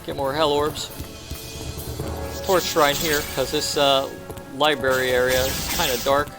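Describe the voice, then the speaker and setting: A man with a slightly high voice commentates casually on his own gameplay. He talks in short, offhand phrases as he goes. Clear game music runs behind him. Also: flat delivery, measured pace.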